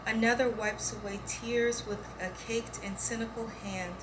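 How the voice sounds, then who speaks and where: Higher-voiced woman reading poetry, noisy recording. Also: fairly even intonation, moderate pace. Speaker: poetry reader. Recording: clear background noise, room ambience.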